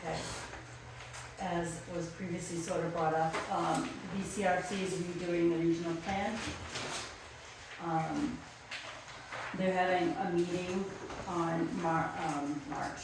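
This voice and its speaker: A soft-spoken woman at a town planning meeting, speaking hesitantly with many ums. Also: lowish voice, fairly even intonation, unhurried, pauses often. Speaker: planning commission member. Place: echoey town office meeting room. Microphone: distant room mic. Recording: echoey room from far off, background noise.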